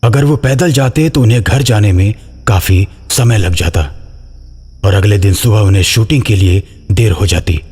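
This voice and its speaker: A man's voice: lowish voice, normal speed, a little mellow, husky. A man reading a scripted horror story as its narrator.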